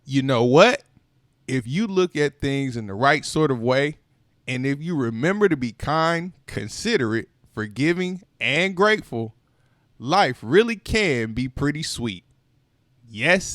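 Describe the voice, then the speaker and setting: A man reading a children's picture book aloud, expressive and playful, with voiced sighs and exclamations. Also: on the high side, no room sound, clean studio sound. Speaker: storytime reader. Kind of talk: children's read-aloud narration.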